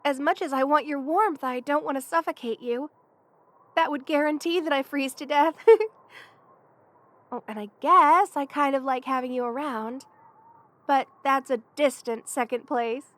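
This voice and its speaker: A woman's voice: high-pitched, normal speed, no echo. A woman voicing a playful, affectionate young lamia best friend in a casual, teasing ASMR roleplay. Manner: casual, teasing, conversational with soft chuckles. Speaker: voice actor in audio roleplay. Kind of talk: ASMR roleplay, one-sided friendly chat.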